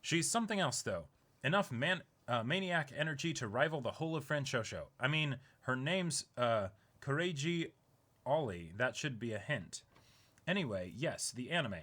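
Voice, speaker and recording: A young man hosting an online video, casually reading aloud in a halting, chuckling way while seated indoors. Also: medium pitch, expressive, fairly bright tone. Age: young adult. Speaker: YouTube unboxing host. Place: small indoor room, seated.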